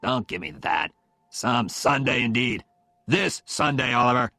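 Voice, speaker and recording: A man voicing a blunt, gruff older father-in-law in an audiobook, scolding with affection. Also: medium pitch, lively intonation, measured pace, bright, forceful tone, no room sound, heavily acted.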